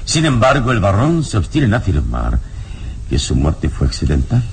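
Wise solemn adventure hero, really deep voice, swooping intonation, old radio serial w/ faint noise. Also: male voice, wildly swooping pitch, gravelly, heavily acted. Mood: pensive, solemn. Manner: measured, probing, solemn. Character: calm, probing heroic adventurer, wise master.

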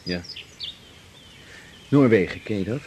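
An actor in a radio drama playing an affectionate, joking man who talks with his partner in a lively, teasing way. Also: lowish voice, slow, lo-fi sound, a little hiss or hum. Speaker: lover. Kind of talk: 1980s radio drama acting.

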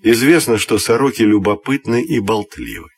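A man narrating a scripted fairy tale for children in an expressive, deliberate storytelling manner. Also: lowish voice, over music.

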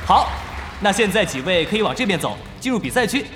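A man's voice: sits high, very animated, fast. An actor playing an upbeat young male esports event host, announcing to a hall in an audio drama. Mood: upbeat, showy. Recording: echoey hall announcer sound, music underneath. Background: music.

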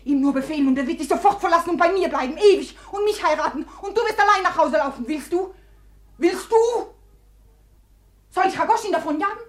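A proud, desperate young woman in an old radio play, taunting one moment and dropping to a whisper the next. Her voice sits high and bright. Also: lively intonation, brisk. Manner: theatrical, swinging between taunting and whispering. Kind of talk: 1950s radio drama acting.

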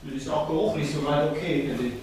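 A man's voice: a little high, fast talker, darkish tone, lightly acted. A man playing a chatty, easily pleased apartment caretaker, rambling matter-of-factly in a radio drama. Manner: rambling, matter-of-fact, trailing off. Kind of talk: naturalistic radio drama dialogue. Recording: very echoey empty room, heavy noise.

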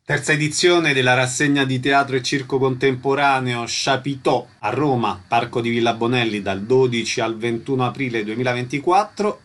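A man hosts an arts show on the radio and speaks in short, announcing lines. He reads out dates and places at a middle pitch. He thanks each guest in the same brief way. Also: a bit bright. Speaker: radio host. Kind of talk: radio interview hosting.

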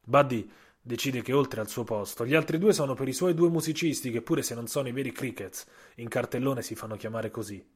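A man narrates a literary audio story in a husky voice at a middle pitch. His phrasing is measured and dramatic, with repetitions and deliberate pauses, like a writer reading his own scripted radio tale.

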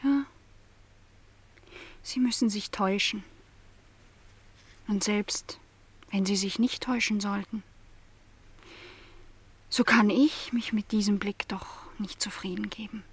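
An actress playing a young housemaid in a radio play, lonely, stubbornly resigned and quietly bitter, speaking in measured, formal sentences. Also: female voice, medium pitch, steady tone, slightly rough. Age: young adult.

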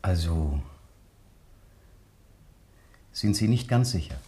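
An actor playing an earnest, thoughtful village vicar in a radio drama, courteous and probing. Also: male voice, sits low, animated, moderate pace, mellow. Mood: grave, probing.

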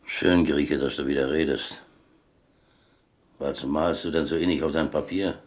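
A man's voice: rumbling low, fairly even intonation, a little slow. A man playing a defiant, streetwise German prisoner in a radio play, with a dry, blunt way of talking. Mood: resigned. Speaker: actor in a radio drama.

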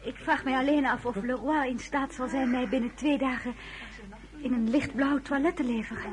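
A woman's voice: high voice, steady tone, normal speed, natural voice, poor audio. A woman playing a reserved young lady at court in a radio drama, speaking formally and deferentially. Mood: reserved, polite. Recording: crowd murmur behind.

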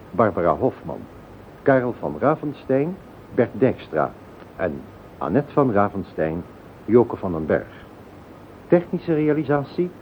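A man reading scripted closing credits as a radio announcer in a formal, measured manner. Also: on the low side. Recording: phone-like old radio recording, faint noise.

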